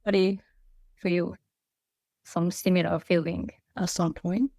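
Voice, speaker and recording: A woman's voice: on the low side, level tone, moderate pace, vocal fry, mellow, dry recording, studio quality. A Japanese woman whose first language is Japanese, chatting as a podcast guest, hesitant and restarting her phrases with light laughs. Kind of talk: casual conversation. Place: Melbourne, Australia.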